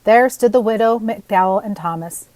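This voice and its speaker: A woman's voice: mid-range voice, normal speed, darkish tone, dry recording. An older woman, narrating a children's story aloud with expression. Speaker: storybook reader.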